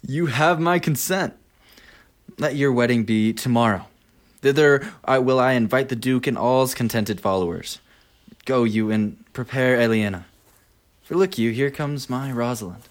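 A man playing a sincere, lovesick young gentleman in a Shakespearean radio play. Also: mid-range voice, a little slow, lightly acted. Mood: consenting.

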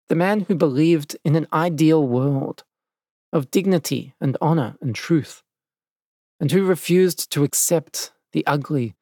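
A man reading scripted documentary narration as a measured, dramatic storyteller. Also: fairly high pitch, darkish tone, no echo, studio quality. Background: faint music.

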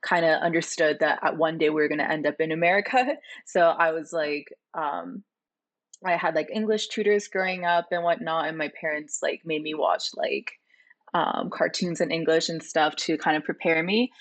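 A woman in her twenties, a native Korean speaker from South Korea, answering interview questions in a relaxed, thoughtful way with many fillers. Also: low-pitched, normal speed. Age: 20s. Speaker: guest.

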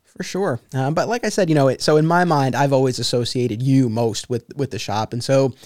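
A man's voice: mid-range voice, brisk, a little mellow, dry recording, studio quality. A man hosting a podcast, rambling a little with lots of fillers and restarts. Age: 30s. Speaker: podcast host. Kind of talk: casual interview chat.

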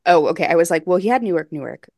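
A woman's voice: fairly low pitch, animated, racing, a little mellow, no echo, studio quality. A woman co-hosting a podcast, chatting casually with blunt, hyperbolic fan enthusiasm. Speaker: podcast co-host.

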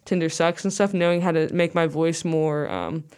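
A young woman, a guest musician, talking casually in an interview, rambling and saying "like" a lot, with a low voice. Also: fairly even intonation, normal speed, darkish tone, smooth.

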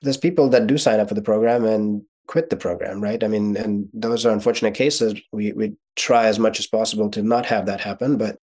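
This is a man in his forties with a fairly low voice, a native Russian speaker who grew up in Ukraine. He talks as a podcast guest in an unhurried, thoughtful way, often restarting a phrase before settling on it. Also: fairly even intonation, darkish tone. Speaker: guest, real estate investor and mentor. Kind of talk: podcast interview.

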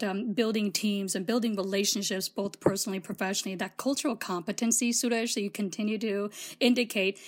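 Earnest woman co-host, conversational. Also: medium pitch. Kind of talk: casual conversational talk.

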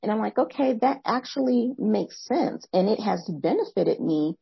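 A young adult woman hosting a podcast, speaking in a soft, soothing voice, reflective and quick, sometimes restarting her phrases. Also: medium pitch, vocal fry, breathy edge, no room sound, muffled recording. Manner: fast, excited talker who pauses to rephrase. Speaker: podcast host. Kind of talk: reflective interview commentary.

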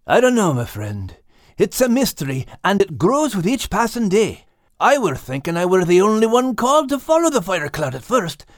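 A man voicing an earnest, warm-hearted little adventurer, an animal with a Scottish accent, in an audiobook. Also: sits high, big pitch swings, normal speed, no room sound, clean studio sound, voice pushed up. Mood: earnest, smitten. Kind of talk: audiobook character acting.

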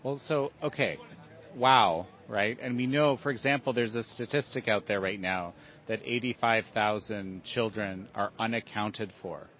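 A man interviewing a guest, asking questions in a halting way as he searches for words. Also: fairly low pitch. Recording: dull, lo-fi sound.

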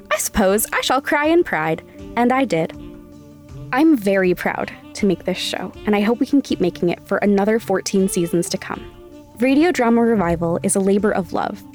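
A woman's voice: mid-range voice, a little mellow. A woman hosting a podcast, chatting casually and reading her show's promo in an upbeat way. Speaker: podcast host. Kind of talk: casual interview and show promo.

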